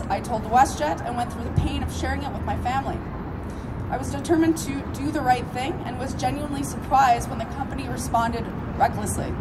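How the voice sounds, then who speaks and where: A woman in her thirties from Canada giving an earnest, measured prepared speech at a rally. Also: high voice, very noisy. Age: 30s. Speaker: rally speaker.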